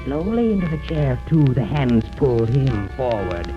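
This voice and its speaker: Theatrical man telling horror, very animated. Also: mid-range voice. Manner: theatrical, suspenseful, dramatic pauses. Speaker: horror show narrator and host. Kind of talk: 1930s radio horror storytelling. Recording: muffled 1930s radio, loud music behind.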